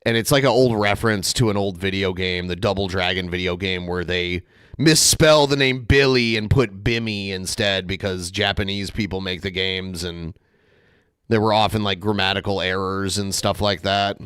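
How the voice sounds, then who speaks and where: A man's voice: deep voice, normal speed, fairly bright tone, smooth, no room sound, clean studio sound. A man hosting a podcast, talking in a rambling, sarcastic way with lots of "like" fillers. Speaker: podcast host. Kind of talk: casual reaction commentary.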